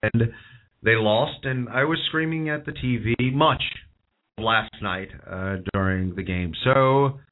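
A hoarse man hosting a call-in wrestling talk show, rambling and opinionated, repeating himself as he goes. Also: mid-range voice, slow. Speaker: host. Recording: tinny phone-like audio.

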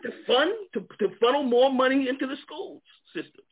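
A man's voice: extremely high pitch, fairly quick. A man with a New York accent, a guest in a panel discussion, who stumbles and repeats words as he searches for them and chuckles as he talks. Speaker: guest speaker. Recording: tinny phone-like online call audio.

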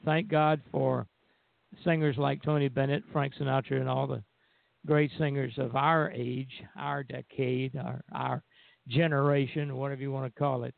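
A man's voice: medium pitch, flat delivery, normal speed, creaky, no room sound. An older man chatting casually as a radio show host over a narrow, phone-like connection. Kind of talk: casual chat. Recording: phone-like, narrow band audio.

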